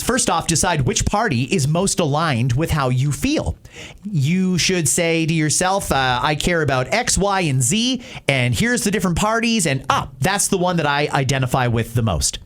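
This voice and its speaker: A man's voice: on the high side, expressive, moderate pace. A man with a Canadian accent hosting talk radio, giving emphatic, plain-spoken opinions in a conversational way. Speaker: talk show host. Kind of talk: opinionated talk-radio commentary answering listener messages.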